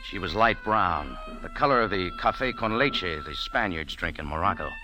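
A hard-boiled café-owner narrator. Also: male voice, deep voice, very animated, normal speed, low-quality recording. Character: tough American café owner, wry narrator. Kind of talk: 1940s radio acting. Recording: old radio broadcast with music.

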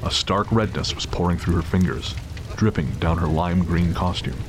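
A man delivers scripted story narration in a very deep register. He serves as the narrator of an audio drama. Urban ambience runs clearly behind his voice. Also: moderate pace. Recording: clear background noise of city ambience.

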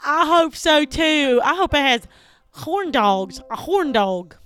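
A woman performing an improv comedy scene, loud, playful and exaggerated. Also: sits high, very animated, slow, no echo.

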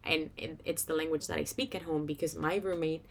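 A young Venezuelan woman hosts a casual solo podcast in a slightly low voice. She has a Venezuelan Spanish accent from her first language. Her delivery is chatty and informal, with a giggly streak. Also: fairly even intonation, a little fast, creaky, a little hiss or hum. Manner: chatty, informal, giggles often while talking. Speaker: podcast host.

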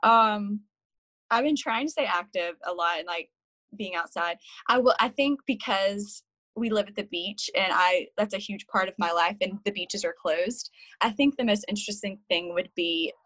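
A woman, a guest on a podcast, talking in a relaxed, giggly way with lots of "like.". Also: mid-range voice, moderate pace, dry recording. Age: 20s. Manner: relaxed, giggly, says "like" a lot. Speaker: guest, photographer and worship leader. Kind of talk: casual chat. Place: home, talking over a video call.

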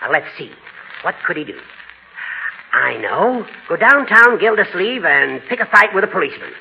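Casting: goading elderly judge for vintage radio comedy, gravelly high pitch, very animated, scornful edge. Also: male voice, moderate pace, full character voice. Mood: coaxing. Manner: sardonic, goading. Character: crotchety, needling older male judge. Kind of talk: 1940s radio comedy acting. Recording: dull, noisy old radio recording.

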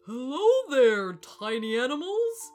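A woman voicing a huge, smug, boastful male elephant in a children's storybook, booming and condescending. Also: big pitch swings, takes it slowly, bright, forceful tone, soft background music, exaggerated voice.

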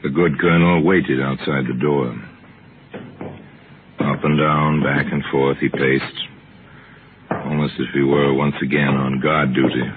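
A man narrating a vintage radio mystery in a wry, confiding, deliberate storytelling manner. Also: a bass voice, level tone, background noise. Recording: thin, narrow old radio recording, music. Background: dramatic music.

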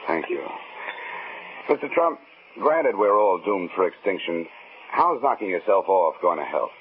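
The low-voiced, dry-witted investigator of a 1950s radio mystery, heard through a small tinny speaker late at night. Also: male voice, very animated, a little slow, slight background noise. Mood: wry, skeptical. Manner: dry, sardonic, world-weary. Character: wry, hard-boiled male insurance investigator. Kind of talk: 1950s radio drama acting and noir narration. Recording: thin, band-limited old radio broadcast.

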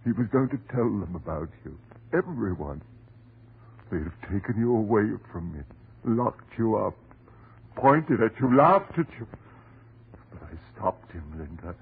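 A desperate husband in a 30s radio horror play, pleading, swings wildly, crackly narrow old recording. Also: male voice, fairly low pitch, very animated, unhurried, vocal fry, pauses often, husky, full character voice. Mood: feverish, devoted. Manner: melodramatic, pleading, impassioned. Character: distraught, desperate husband devoted to his cursed wife. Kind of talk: 1930s radio horror acting. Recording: noisy, band-limited 1930s radio recording.

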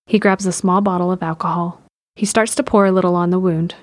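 Low-pitched woman narrating an audio drama. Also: fairly even intonation, a little fast, no room sound. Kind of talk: scripted present-tense drama narration.

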